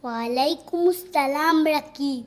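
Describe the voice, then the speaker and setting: A performer playing a gentle, earnest young cartoon boy. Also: high-pitched, unhurried. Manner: earnest, plaintive. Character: gentle young boy who loves his goat, tearful. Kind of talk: cartoon voice acting.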